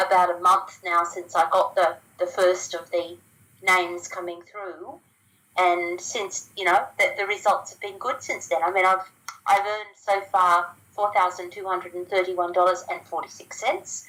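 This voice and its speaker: Australian woman guest, low-pitched and chatty, restarts her sentences often. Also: sharp tone, rough recording. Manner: chatty, with frequent ums and restarts. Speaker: guest sharing business results. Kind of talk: casual phone interview.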